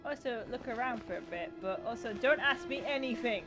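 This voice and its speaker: A woman's voice: on the high side, expressive, brisk. A woman giving casual let's-play commentary as a gaming streamer, sarcastic and happy to swear. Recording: game music loud behind.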